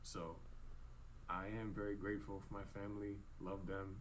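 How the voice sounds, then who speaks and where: A man in his twenties musing casually and haltingly about friendship, full of fillers and restarts. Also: low-pitched, little pitch movement, low-quality recording. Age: 20s. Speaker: vlogger in clip. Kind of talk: reflective casual monologue. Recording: faint background noise.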